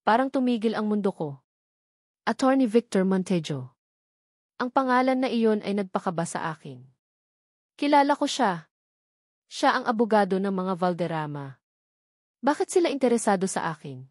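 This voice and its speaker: A woman's voice: medium pitch, expressive, normal speed, long pauses, no echo. Filipino woman narrating a dramatic romance, dry sound. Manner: emotive, with short phrases set apart for drama. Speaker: story narrator. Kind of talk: dramatic first-person scripted narration of a romance story.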